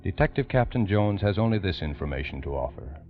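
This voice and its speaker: A man's voice: low voice, animated, a little fast, faint music behind. A man narrating a scripted old-time radio crime story in a formal, dramatic way. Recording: muffled old radio recording.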